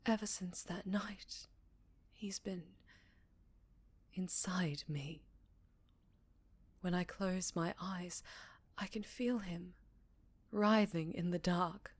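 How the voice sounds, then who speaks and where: A woman playing a haunted, sorrowful vampire in an ASMR roleplay, telling her tale slowly with a Southern accent and a breathy voice that often slips into whispers. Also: lowish voice. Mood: haunted, fearful. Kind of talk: ASMR roleplay, intimate dramatic storytelling.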